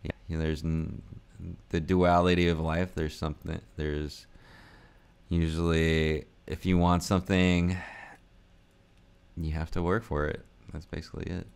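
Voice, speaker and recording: A man's voice: very deep voice, little pitch movement, unhurried, creaky, a little mellow, clear, resonant tone. A man in his forties, born in the United States, musing aloud in a rambling, unscripted vlog and laughing often. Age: 40s. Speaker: vlogger. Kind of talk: casual unscripted vlog talk.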